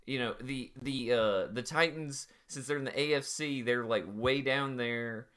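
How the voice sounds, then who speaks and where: A man's voice: medium pitch, fairly bright tone, clean tone. A young man co-hosting a sports podcast, bantering casually with lots of "like" and "uh". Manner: quick banter, frequent "like" and "uh". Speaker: podcast co-host.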